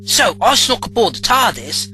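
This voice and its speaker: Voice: mid-range voice, sing-song, normal speed, bright, forceful tone, soft background music. A folksy, loyal young companion. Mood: earnest. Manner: folksy, rough-grammared. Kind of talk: audio drama acting.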